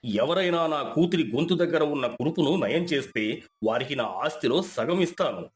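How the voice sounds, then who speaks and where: A man's voice: on the high side, expressive, moderate pace, natural voice. A man playing a wealthy village landlord and desperate father, solemn and authoritative, in a moral story. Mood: grave, threatening.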